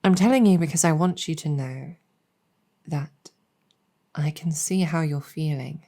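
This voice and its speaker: Slow, low-voiced demoness general, played by a woman. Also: dark tone. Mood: earnest, confiding. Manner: deliberate, halting, earnest, with long pauses. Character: earnest, protective female succubus demon general. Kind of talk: intimate improvised roleplay storytelling, ASMR-adjacent.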